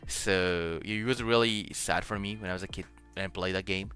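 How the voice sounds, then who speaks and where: A man hosting a casual streamed chat, halting as he searches for words in a language that is not his native Japanese, sometimes switching to Spanish. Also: low voice, fairly even intonation, a bit bright. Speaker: host of a streamed radio show. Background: music.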